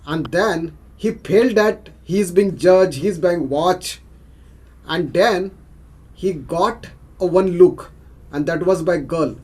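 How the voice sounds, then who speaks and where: A man with an Indian accent speaking haltingly as a participant on a video call. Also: high-pitched, a little mellow, slightly rough. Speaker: club session participant.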